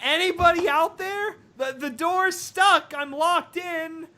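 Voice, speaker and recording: A man voicing an anxious, pleading teenage girl from a story in a strained, raspy cartoonish voice. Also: very high voice, slow pace, bright, forceful tone, voice pushed up, exaggerated voice.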